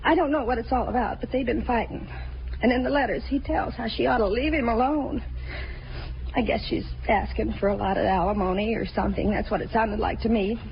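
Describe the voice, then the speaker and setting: A woman's voice: on the high side, a little fast, gravelly, faint music behind. An actress playing a chatty, nosy working woman who rambles and confides in a hesitant way, in 1950s radio drama style. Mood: confiding. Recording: muffled, hissy old radio recording.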